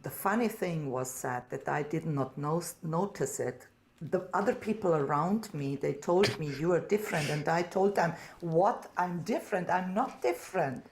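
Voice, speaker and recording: A woman in her fifties with a Serbian accent, calling in to a livestream and earnestly telling her story in halting, non-native English. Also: low-pitched, lively intonation, a little hiss or hum. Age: 50s. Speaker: caller. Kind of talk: livestream call-in debate. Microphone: remote call audio.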